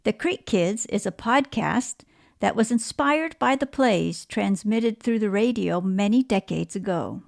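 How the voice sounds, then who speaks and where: Woman podcast host with slightly creaky voice. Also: medium pitch, expressive, a little slow. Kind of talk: scripted podcast introduction and interview.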